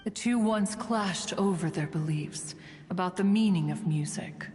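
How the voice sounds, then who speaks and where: A woman's voice: lowish voice, unhurried, darkish tone, in a large hall, soft background music, natural voice. A woman playing a proud, ancient, aloof immortal who tells stories in formal, archaic, stately speech in a video game. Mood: wistful, solemn. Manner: formal, stately, archaic storytelling, refers to self as "one". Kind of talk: scripted video game dialogue.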